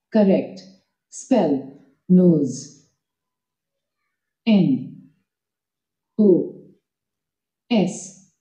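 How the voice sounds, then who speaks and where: A woman's voice: fairly low pitch, mellow. A woman as an app's voice assistant, giving scripted, encouraging instructions and praise. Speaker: reading app voice assistant. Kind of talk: scripted app prompts and praise. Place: played from a device speaker in a room, picked up by camera. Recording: dull app playback in echoey room.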